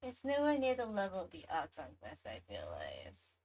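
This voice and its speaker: A woman's voice: fairly low pitch, wildly swooping pitch, moderate pace, a little mellow. A woman hosting a livestream, chatting casually and reacting to a game in an easygoing, hesitant way that trails off between thoughts. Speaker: livestreamer, VTuber host.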